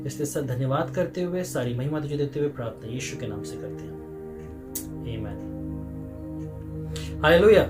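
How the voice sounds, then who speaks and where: A man with an Indian accent preaching and praying as a pastor, often halting as he explains and mixing in English words. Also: medium pitch, expressive, loud music behind the voice. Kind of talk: sermon with prayer to an online congregation.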